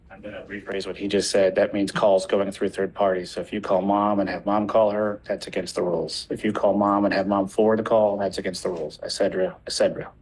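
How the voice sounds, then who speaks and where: A man's voice: low-pitched, little pitch movement, fast, hardly any pauses, a little mellow, slight background noise, rough recording. A man with an American accent explaining court rules in a plain-spoken, firm and instructive way.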